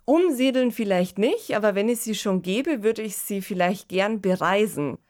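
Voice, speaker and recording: A woman's voice: mid-range voice, expressive, normal speed, darkish tone. A woman co-hosting a podcast, explaining in an informal, chatty way. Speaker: podcast co-host.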